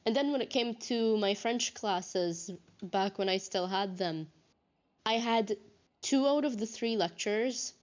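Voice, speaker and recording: A woman's voice: mid-range voice, a little slow. A woman game streamer chatting casually in a rambling, sweary storytelling manner. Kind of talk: casual chat. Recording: dull lo-fi mic.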